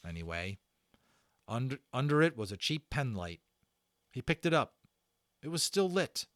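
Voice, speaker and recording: A man giving an expressive, dramatic read-aloud of a children's book as narrator. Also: lowish voice, no echo, clean studio sound. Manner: expressive, performed reading. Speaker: narrator and voice actor.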